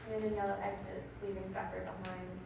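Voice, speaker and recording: A woman's voice: medium pitch, little pitch movement, a little fast. A woman reading stage directions as scripted narration at a staged play reading. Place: theater room, filmed staged reading. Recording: echoey room, far off, clear noise.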